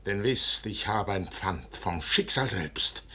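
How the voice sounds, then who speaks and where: An actor in a 1950s German radio drama playing a proud, stubborn, visionary general, husky and solemnly declamatory in verse. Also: male voice, on the low side, expressive, takes it slowly, pushed and bright, heavily acted.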